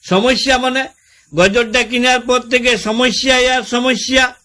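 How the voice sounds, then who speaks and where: Man playing a very high-pitched, exasperated village husband. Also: fairly even intonation, a little slow, sharp tone, exaggerated voice. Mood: aggrieved. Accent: rural West Bengal Bengali. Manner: folksy, long-winded storytelling with exasperated repetition. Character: aggrieved village husband complaining about his new fridge. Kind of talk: comic prank-call style phone skit.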